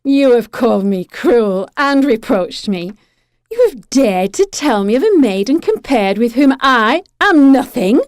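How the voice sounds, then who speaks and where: An actress playing a proud, alluring young Siren in an audio drama, speaking in an imperious, deliberate and dramatic way. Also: female voice, fairly high pitch, very animated, no room sound. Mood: imperious, possessive.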